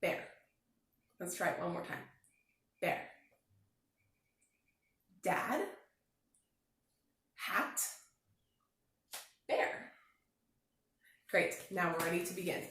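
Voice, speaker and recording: A woman teacher speaking slowly and clearly, encouraging children through a signing lesson and read-aloud. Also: fairly low pitch, lively intonation, fairly bright tone. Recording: very echoey room.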